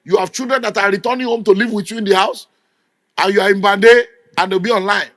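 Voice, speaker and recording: A man's voice: sits high, steady tone, fast talker, fairly bright tone, no echo, clean studio sound. A middle-aged Nigerian man preaching a sermon with an emphatic, scolding delivery. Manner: emphatic, scolding, mimics people in quotes. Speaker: pastor.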